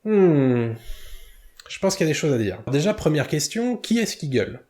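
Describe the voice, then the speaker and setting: A man's voice: fairly high pitch, big pitch swings, moderate pace, darkish tone. A man commenting casually to his viewers, full of hesitations and self-corrections, with chuckles at his own mispronunciations. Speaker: video commentator.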